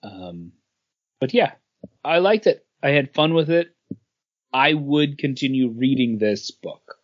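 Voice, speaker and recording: Male comics podcast co-host, unhurried, halting delivery, dull lo-fi audio. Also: mid-range voice, animated. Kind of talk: casual chat reviewing comics. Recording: dull lo-fi sound.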